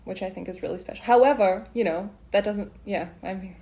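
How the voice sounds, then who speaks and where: A woman with an average-pitched voice hosts an informal interview. She talks in a conversational, supportive way, agreeing with her guest and building on the points made. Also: brisk, dark tone. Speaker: podcast host.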